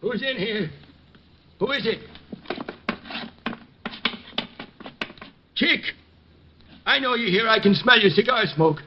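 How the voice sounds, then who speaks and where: A frightened old ex-con. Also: male voice, high-pitched, lively intonation, normal speed, noisy background. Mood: pleading. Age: older. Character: frightened aging ex-con informant, pleading. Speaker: old informant. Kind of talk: 1950s radio drama acting. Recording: dull old radio transcription.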